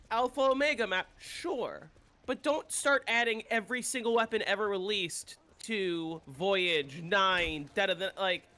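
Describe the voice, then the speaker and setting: A man's voice: sits high, bright, forceful tone. A man chatting casually while he streams a game, rambling and opinionated and swearing freely. He sounds congested, like he is coming down with a cold. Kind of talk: casual gameplay chat.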